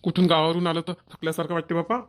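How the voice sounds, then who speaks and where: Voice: mid-range voice, flat delivery, very fast, lightly acted. A performer voicing a caring, mildly nagging village man in a family cartoon, with a rural Maharashtrian accent. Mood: casual, grumbling. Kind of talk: Marathi family cartoon voice acting.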